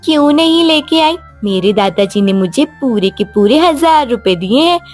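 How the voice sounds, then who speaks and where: A woman's voice: high-pitched, lively intonation, moderate pace, faint music behind. A woman voicing an eager, trusting young girl in a children's story, pleading and exclaiming. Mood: proud. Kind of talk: animated moral story dialogue.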